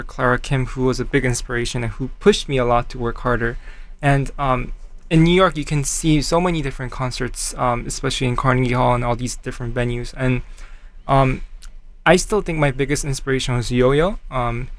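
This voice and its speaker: A man's voice: mid-range voice, fairly quick. A young man from Canada, a cellist guest, answering interview questions modestly and hesitantly with many fillers.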